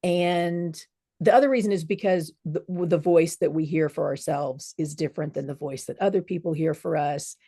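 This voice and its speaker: A woman co-hosting a casual podcast chat, explaining things in a measured, thoughtful way. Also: sits low, mellow, husky, no echo, studio quality. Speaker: podcast co-host.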